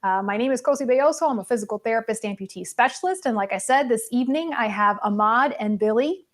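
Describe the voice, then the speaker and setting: A woman with a fairly high voice hosts a livestream. She talks in a chatty, informal way and addresses her viewers directly as a show host would. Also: fast. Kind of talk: casual livestream chat with viewers.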